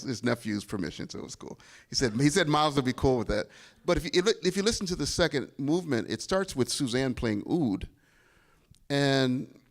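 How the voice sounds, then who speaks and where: A middle-aged man, a bandleader and composer, talking casually and animatedly to a live audience, often restarting his sentences. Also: medium pitch.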